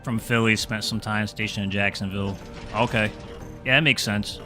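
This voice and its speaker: A man's voice: fairly low pitch, steady tone, moderate pace, over music. A man from New England with a Boston accent, chatting casually with viewers while streaming a game, dropping his R's and filling his talk with "like". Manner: rambling, filler-heavy, drops his R's. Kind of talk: casual gaming livestream chat.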